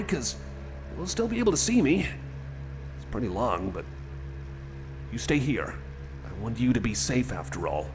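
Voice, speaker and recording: A friendly cyborg companion acted by a man talks over clear background music in a mid-range voice with lively swings in pitch. He rambles nervously in a casual ASMR roleplay, hesitating and doubling back on his phrases. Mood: wary, protective. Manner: rambling, hesitant, repeats himself.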